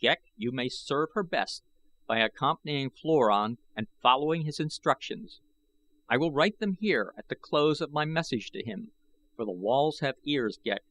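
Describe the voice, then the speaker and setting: A man's voice: medium pitch, lively intonation, normal speed, fairly bright tone, poor audio. A man voicing an urgent, trusting Martian warrior who gives earnest instructions in an audiobook.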